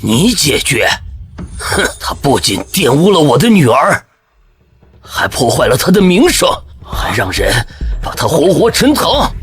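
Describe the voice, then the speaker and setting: Bitter angry dad out for revenge, high for a man, voice swings all over, menacing drama delivery. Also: huge pitch swings, measured pace, exaggerated voice. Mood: enraged, threatening. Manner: forceful, menacing, bitter outbursts. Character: vengeful, grief-stricken father holding his enemy hostage. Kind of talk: dramatic audio drama acting.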